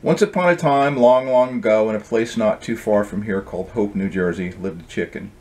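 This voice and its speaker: Low-voiced middle-aged man reading his book. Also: moderate pace, a little mellow. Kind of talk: storybook reading with casual introduction. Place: indoor home office.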